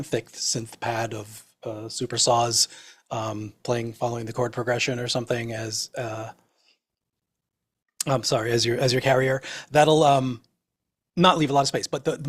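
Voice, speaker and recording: A man co-hosting a chat, giving a casual technical explanation in a halting, thoughtful way. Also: medium pitch. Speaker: co-host.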